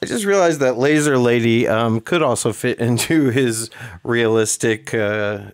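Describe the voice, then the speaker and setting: A man on a casual movie podcast who pauses with fillers and asks the others questions. His voice sits at an ordinary mid pitch for a man. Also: clear, resonant tone. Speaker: podcast co-host. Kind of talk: casual movie recap chat.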